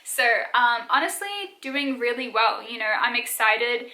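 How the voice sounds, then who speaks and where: A young woman in her twenties talks through viewer questions in a relaxed, chatty way, her accent a blend of South African, Australian and American. Her voice is bright and sits a little high. The sound rings around an echoey room. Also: a little slow. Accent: mixed South African, Australian and American. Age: 20s. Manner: chatty, many "like" fillers, frequent light laughs. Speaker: vlogger. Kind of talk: casual Q&A vlog.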